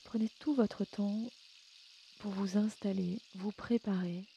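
A woman leading a guided sleep meditation in a gentle, soothing, unhurried way, often slipping into a breathy near-whisper. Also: medium pitch, fairly even intonation, highs cut off, slight background noise. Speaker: yoga nidra guide and podcast host. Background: music.

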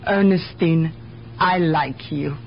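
A woman's voice: low-pitched, slow pace, vocal fry, exaggerated voice. A guarded, plain-spoken immigrant woman, weary of the world and speaking in broken grammar. The old mystery broadcast is dull, with a faint bed of noise. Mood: confiding, resigned. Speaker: actor in a radio drama. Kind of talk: 1970s radio mystery acting. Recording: dull, noisy 1970s radio broadcast recording.

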